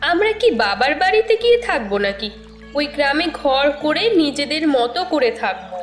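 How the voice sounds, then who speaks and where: An earnest village wife played by a woman speaks in a high, animated voice. It is expressive cartoon drama with a clear echo and light music. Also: moderate pace. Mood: earnest, wistful. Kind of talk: dramatic fairy-tale cartoon voice acting. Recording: echoey studio dub with background music.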